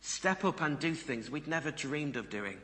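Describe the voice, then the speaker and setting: A man's voice: a little high, lively intonation, normal speed, sharp tone. A man giving a sermon in a conversational manner, putting questions to his listeners. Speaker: preacher. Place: large reverberant room before a congregation. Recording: reverberant hall sound.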